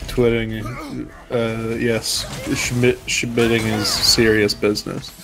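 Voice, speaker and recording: A man's voice: fairly low pitch, flat delivery, slow, poor audio. Sleep-deprived young man, casual and jokey. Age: late 20s. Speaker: guest on a gaming stream. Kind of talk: casual chat. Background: game music.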